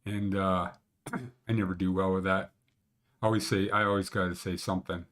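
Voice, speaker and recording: A man's voice: sits low, fairly even intonation, normal speed, fairly bright tone. Older canadian man, rambling, blunt and jokey, casual screen-share talk, small home room, desk webcam mic. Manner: rambling, blunt, joking, sometimes crude. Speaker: mountain conditions show host.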